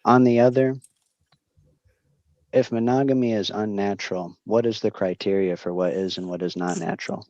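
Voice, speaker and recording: Low-pitched, measured man on lo-fi audio. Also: steady tone, a little mellow, no echo. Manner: measured, weighing both sides. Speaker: panel guest.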